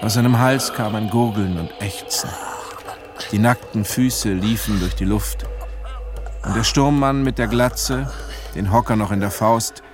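A male actor delivers grave literary narration for a wartime radio play. His voice is fairly low and measured, with clear music playing behind it. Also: natural voice. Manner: measured, solemn.

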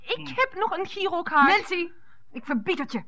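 A woman's voice: extremely high pitch, sing-song, moderate pace, sharp tone, heavily acted. A woman playing a prim, haughty, indignant lady of the house in a comic radio play. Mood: outraged. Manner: haughty, exclaiming.

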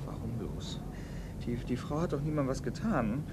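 A man acting a shaken, earnest young police assistant in a German radio crime play, speaking haltingly. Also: medium pitch, animated, noisy background, lightly acted. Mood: appalled, subdued. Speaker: actor in radio crime drama.

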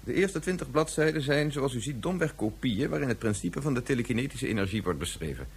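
Terse, dry scientist for sci-fi radio: mid pitch, varied intonation, coolly unimpressed. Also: male voice, sing-song, quick pace, breathy edge, exaggerated voice. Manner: dry, matter-of-fact, terse. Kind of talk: Dutch science-fiction radio drama acting.

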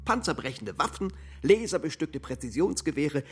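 An actor playing a tense, suspicious man in a radio drama, clipped and forceful, with a sharp husky voice. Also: on the high side, sing-song, moderate pace. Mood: grim, factual.

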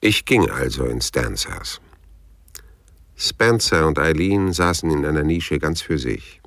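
An actor playing a cool, persistent private detective in a German radio crime drama, narrating and questioning in a dry, laconic, husky voice. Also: male voice, a bass voice, sing-song, unhurried, fairly bright tone, full character voice. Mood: dry, wry narrating. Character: hard-boiled private detective narrating his own story, cool and persistent.

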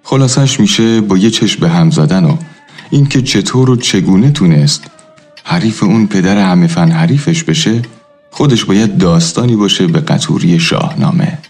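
A man's voice: low voice, lively intonation, darkish tone, faint music behind. A man narrating a radio drama in a reflective, literary storytelling manner.

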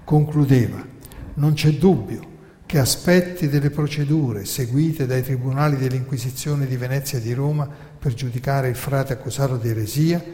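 Male presenter, mid-pitched warm voice, formal scripted narration, measured phrasing, echoey hall. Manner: measured, formal, rhetorical delivery. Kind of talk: scripted stage narration, quoting documents. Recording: echoey hall with long reverb.

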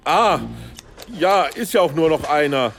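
An actor playing a crude, jovial, hard-of-hearing old pensioner who rambles shamelessly in a satirical radio play. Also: male voice, on the high side, unhurried, slight background noise, natural voice. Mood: cheeky, chatty. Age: older.

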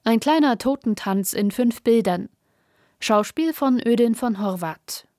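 A woman announcer reading the title of a radio play in a measured, formal way. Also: fairly high pitch, lively intonation, darkish tone, no echo, clean studio sound.

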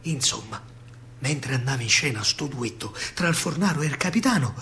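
A man with a Roman accent narrating dramatic verse on stage, declamatory, with pauses and drawn-out words. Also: mid-range voice, expressive, sharp tone, slight background noise. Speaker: actor-storyteller in a solo stage show.

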